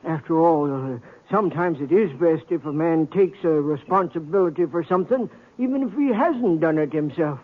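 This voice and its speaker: Male actor as dithering, meek American townsman, high and raspy. Stammering Western radio character on a tinny vintage broadcast. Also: expressive, moderate pace, few pauses, close to an everyday voice. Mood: sheepish, apologetic. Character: meek, fussy small-town bank clerk, timid and dithering. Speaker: supporting actor. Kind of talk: 1950s Western radio drama acting.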